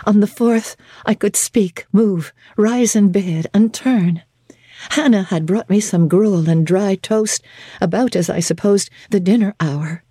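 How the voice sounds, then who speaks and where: A woman narrating a classic novel in the first person, with deliberate, expressive delivery and formal diction. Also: mid-range voice, breathy edge, no echo, studio quality. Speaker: audiobook narrator.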